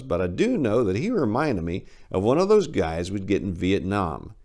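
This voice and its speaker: Deep-voiced man reading with lively swings. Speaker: channel host, author reading stories. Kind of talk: scripted introduction and dramatic narration.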